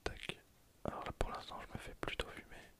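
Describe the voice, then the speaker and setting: Whispered ASMR gaming commentary. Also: brisk. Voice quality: soft unvoiced whisper. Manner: casual, hesitant, thinking aloud while playing. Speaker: ASMR gaming video creator.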